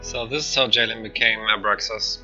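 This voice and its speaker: A man giving casual, unscripted commentary for a game showcase, explaining loosely in halting phrases. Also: fairly low pitch, fairly even intonation, highs cut off, over music. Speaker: gaming channel narrator.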